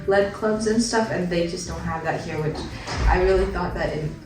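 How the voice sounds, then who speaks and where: Lower-voiced young American woman. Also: normal speed, background noise. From Long Island, New York. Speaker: exchange student. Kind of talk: casual chat. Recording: echoey room, distant mic, music behind.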